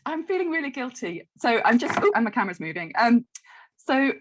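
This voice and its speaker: A woman's voice: on the high side, very animated, a little fast, low-quality recording. Hesitant woman on a video call. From United Kingdom. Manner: hesitant, apologetic, lots of ums. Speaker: quilt-along participant. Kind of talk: casual chat on a video call.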